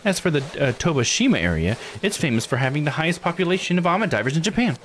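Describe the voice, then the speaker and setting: A man's voice: on the high side, big pitch swings, fast talker, a little hiss or hum, voice pushed up. A man voicing an eager, proud guide character while reading a visual novel aloud. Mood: bright, informative.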